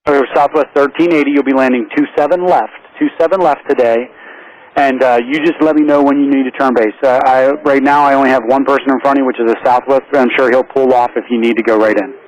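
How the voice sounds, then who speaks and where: A man working as an air traffic controller, giving calm, accommodating, businesslike instructions to pilots over aviation radio. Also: mid-range voice, fast, highs cut off.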